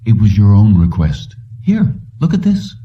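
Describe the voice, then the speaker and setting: A man voicing a brisk, patient and matter-of-fact young woman paymaster in an audiobook story. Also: fairly low pitch, huge pitch swings, recorded from a distance, lo-fi sound, exaggerated voice. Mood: insistent, reassuring.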